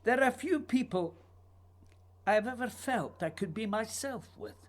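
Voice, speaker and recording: An actor playing an earnest, restless writer, needy and intense, in a theatrical, pleading radio drama style. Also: male voice, sits high, lively intonation, unhurried. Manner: theatrical, pleading, literary phrasing. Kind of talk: radio play acting.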